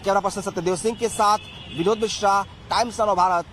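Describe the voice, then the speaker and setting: A man's voice: sits high, fairly even intonation, a little fast, fairly bright tone, slight background noise. A man delivering a television field news report as a reporter.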